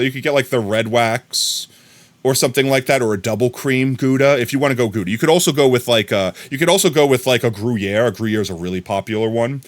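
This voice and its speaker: An American man chatting casually on a live stream, rambling and bursting into excited shouts of thanks to viewers. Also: medium pitch. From United States. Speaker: livestreamer.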